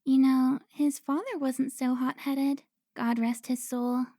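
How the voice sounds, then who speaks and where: A woman's voice: sits high, level tone, mellow, no room sound, clean studio sound. A woman playing a teasing, pouty, centuries-old vampire who looks twenty, in a cinematic audio roleplay. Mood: coy, needling. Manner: playful, pouty, drawn-out teasing.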